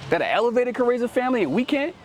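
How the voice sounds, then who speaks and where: High-pitched street preacher guy talking fast outdoors, keeps saying right?, bossing the reader to read. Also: big pitch swings. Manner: ends almost every sentence with "right?"; commanding, calls on the reader to read. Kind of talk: street teaching. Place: outdoors in a city.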